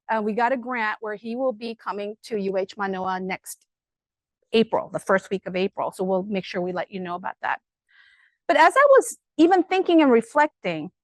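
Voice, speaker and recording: A middle-aged woman with a loud voice, giving a lively, conversational academic talk to an audience, full of fillers and enthusiasm. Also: fairly high pitch, no room sound. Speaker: guest speaker at an academic colloquium.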